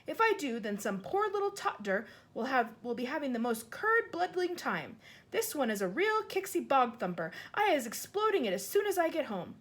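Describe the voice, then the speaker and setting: Brief: woman doing a loud, high, excitable storybook giant with mangled grammar and invented words. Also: normal speed. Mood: distressed. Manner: jumbled grammar, made-up words, cries out often. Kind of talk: audiobook character voice.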